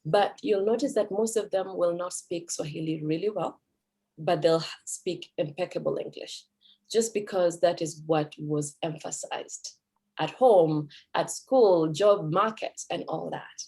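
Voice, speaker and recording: Kenyan woman, low voice, giving a talk. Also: measured pace, a little mellow, husky. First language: Kikuyu. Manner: conversational, with fillers and self-corrections. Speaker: Swahili teacher. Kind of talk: talk at a cultural event.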